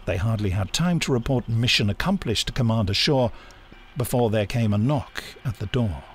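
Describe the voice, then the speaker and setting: A man's voice: medium pitch, animated, normal speed, slight background noise. A man reading a scripted adventure story as a dramatic audiobook narrator.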